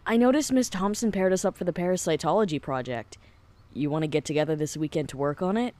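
A woman's voice: on the low side, quick pace, warm tone, close to an everyday voice. A woman playing a friendly, easygoing teenage boy in an audio drama, casual and supportive. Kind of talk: naturalistic audio drama acting.

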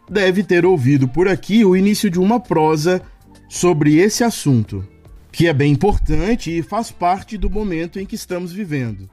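A man's voice: a little high, darkish tone. A man with a Brazilian accent presenting a radio programme in scripted narration. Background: music.

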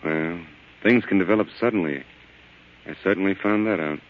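A mild, troubled American husband played by a male actor in 1940s radio drama. He has a very low voice and a subdued, hesitant, polite delivery, muffled by the old broadcast recording. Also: moderate pace, a little hiss or hum, lightly acted. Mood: weary, hesitant.

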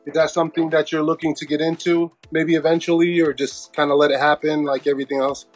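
A man's voice: fairly high pitch, flat delivery, fast, faint music behind. A man co-hosting a casual interview, talking in an encouraging, affirming way. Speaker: podcast co-host, interviewer.

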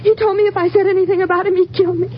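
A woman's voice: very high-pitched, level tone, very fast. An actress playing a frightened, evasive young woman who witnessed a killing, nervous and pleading, in a 1950s radio drama. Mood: terrified, tearful. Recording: muffled old-time radio recording, faint noise.

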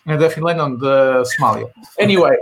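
A man from the United States chatting casually as a comedy panelist, rambling and halting, dropping in English slang. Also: medium pitch, noisy background. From Missouri, United States. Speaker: panelist on a comedy news show.

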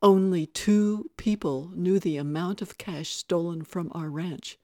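A woman's voice: sits low, fairly even intonation, takes it slowly, no room sound, clean studio sound. Woman playing an angry, emphatic cowboy musician. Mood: accusing. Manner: loud, emphatic, accusing declarations. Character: angry righteous cowboy musician, forceful man.

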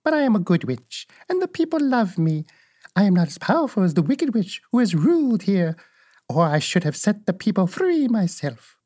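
A reader voicing a kindly, calm little old woman who is a good witch, speaking sweetly and a little husky. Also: normal speed. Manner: high, sweet, gently cheerful.

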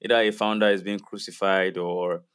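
A man's voice: deep voice, flat delivery, moderate pace, fairly bright tone, clear, resonant tone. A man co-hosting a casual chat, joking and laughing often.

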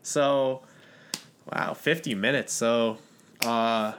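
A man's voice: mid-range voice, steady tone, very slow, fairly bright tone, slight background noise. A man hosting a casual fitness podcast, earnestly explaining lifting technique with lots of fillers. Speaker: podcast host.

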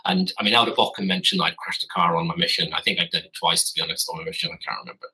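A man's voice: low-pitched, racing, bright, forceful tone, breathy edge, low-quality recording. A man in his thirties, a podcast guest telling stories casually and correcting himself as he goes, speaking from his garden office. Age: 30s. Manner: rambling, self-correcting, wry. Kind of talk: casual storytelling.

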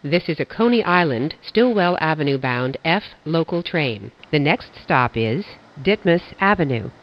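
An automated-sounding subway announcer reading stops and transfers in flat, measured phrasing. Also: mid-range voice. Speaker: train announcer. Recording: dull lo-fi audio.

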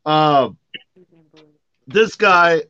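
A man's voice: a little high, lively intonation, extremely slow, sharp tone. A man co-hosting a podcast, talking casually and giving his opinions, in slightly lo-fi audio. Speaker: podcast co-host.

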